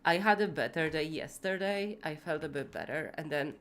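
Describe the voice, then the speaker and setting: A woman's voice: deep voice, moderate pace, fairly bright tone. A woman streaming live while building in a city game, congested and feverish, rambling with lots of fillers and casual swearing. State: sick with fever, congested, voice going.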